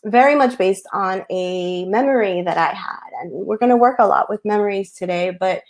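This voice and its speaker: Author, woman, Spanish-first speaker, animated hesitant chat over a video call. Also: mid-range voice, normal speed. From United States. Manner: animated, hesitant with many ums. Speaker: picture book author. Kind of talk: casual webinar talk.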